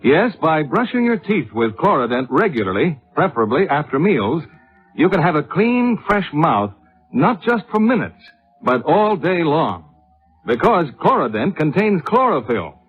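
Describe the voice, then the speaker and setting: A man announcing on 1950s radio in a scripted advertising style. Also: on the high side. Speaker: commercial announcer. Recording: muffled 1950s radio broadcast, faint music.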